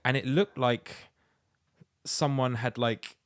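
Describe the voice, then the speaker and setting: A man's voice: mid-range voice, steady tone, slow, dry recording, clean studio sound. A British man chatting casually as a podcast co-host, in a blokey, rambling, sweary way. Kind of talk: casual chat.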